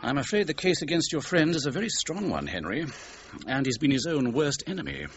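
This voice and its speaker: An actor playing a measured, candid lawyer in a radio crime drama, speaking formally and articulately. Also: male voice, fairly high pitch, big pitch swings, faint music behind. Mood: grave, candid. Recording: dull, hissy radio drama recording.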